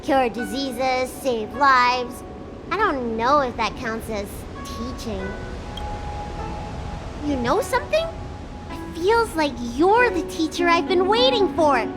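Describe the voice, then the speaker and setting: Video game role: small girl healer, high and animated, spirited yet burdened, over music. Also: slow, heavily acted. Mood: wistful, earnest. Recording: loud music behind.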